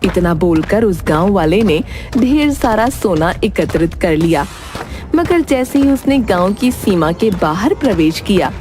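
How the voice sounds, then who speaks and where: A woman's voice: medium pitch, big pitch swings, unhurried, darkish tone, soft background music. A woman narrator reading a scripted moral tale in a storytelling style.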